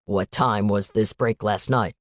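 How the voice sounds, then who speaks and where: A woman voicing a curt, businesslike male FBI agent in an audiobook, pitching her voice down. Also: animated, moderate pace, a little mellow, dry recording, studio quality, exaggerated voice.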